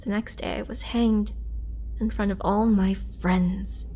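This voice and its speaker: Woman acting as a wistful, halting young-looking vampire. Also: medium pitch, steady tone, dark tone, slight background noise, lightly acted, poor audio. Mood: somber. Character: youthful-looking centuries-old female vampire, imprisoned, sorrowful, gentle. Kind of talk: dramatic first-person roleplay storytelling.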